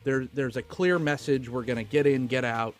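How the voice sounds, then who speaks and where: A man co-hosting a podcast, talking casually about film in animated, stop-start phrases with some swearing. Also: mid-range voice, normal speed, soft background music. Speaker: podcast co-host.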